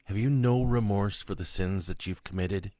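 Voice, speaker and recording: A man's voice: lowish voice, normal speed, warm tone, no room sound, natural voice. A man voicing a grave, shaken priest. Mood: stern. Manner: grave, stern, restrained.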